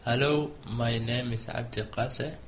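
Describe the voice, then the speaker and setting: A man from Somalia, an adult student speaking in slow, steady short phrases of learner English. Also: mid-range voice, slight background noise.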